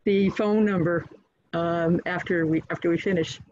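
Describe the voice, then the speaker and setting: A woman's voice: lowish voice, fairly even intonation, moderate pace, a little mellow, slight background noise. A woman moderating a casual online event as host, speaking on a video call from a bookshop. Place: bookshop, in front of shelves. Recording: dull, lo-fi video call audio.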